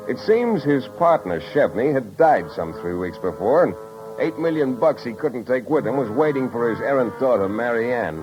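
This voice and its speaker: An actor playing a wry, impatient private detective in a 1950s radio drama, clipped and sardonic. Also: male voice, medium pitch, close to an everyday voice. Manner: clipped, sardonic, world-weary. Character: wry, impatient, hard-boiled red-headed Irish private detective man. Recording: muffled old radio recording, music behind.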